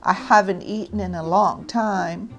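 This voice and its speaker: A protective mother alligator from a children's storybook, read by a woman. She speaks tenderly and earnestly at a medium pitch, with faint music behind her. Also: fairly even intonation, a little slow, lightly acted. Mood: reassuring.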